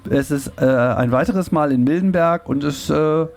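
A man's voice: a little high, normal speed, vocal fry. A man hosting a podcast on stage before a live audience, chatting casually and joking a lot. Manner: joking, laughs often, lively delivery. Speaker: podcast host.

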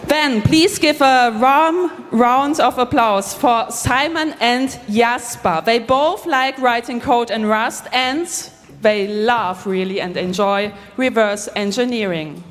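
A woman's voice: fairly high pitch, slow pace, few pauses, faint music behind. A woman hosting a conference makes a stage announcement to introduce speakers to the audience. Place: large conference hall. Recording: echoey hall with long reverb.

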